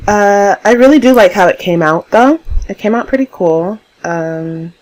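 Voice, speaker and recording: A young woman talking casually over her game build in a rambling, chatty way, laughing often, her voice hoarse. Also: medium pitch, animated, a little slow, a little mellow, faint music behind, low-quality recording. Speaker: YouTuber narrating a game build.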